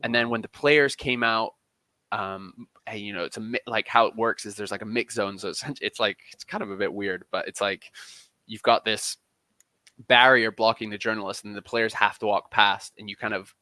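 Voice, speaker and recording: A man with a fairly low but very bright, forceful voice talks as a guest on a podcast. He speaks conversationally and emphatically, often doubling back to restart a phrase. The sound is dry and close. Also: steady tone, normal speed, no room sound. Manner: emphatic, repeats and restarts phrases mid-thought. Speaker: interview guest, football journalist.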